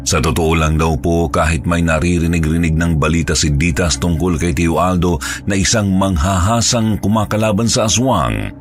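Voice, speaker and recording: A man's voice: deep voice, moderate pace, slight background noise. A man narrating a scripted horror story as its storyteller.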